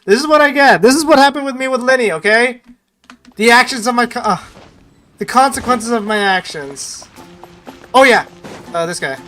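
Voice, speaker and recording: A woman's voice: fairly high pitch, expressive, moderate pace, pauses often, fairly bright tone. A woman streamer giving casual live gaming commentary, exasperated and self-blaming, repeating herself in mutters and outbursts. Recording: game music behind the voice. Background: game music.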